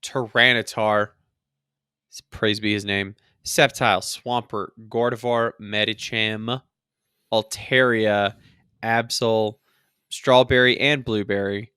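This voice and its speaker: A man's voice: fairly low pitch, steady tone, takes it slowly, sharp tone, smooth, dry recording, studio quality. A man co-hosting a gaming podcast with casual banter and joking, mock-grand asides. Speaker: podcast co-host.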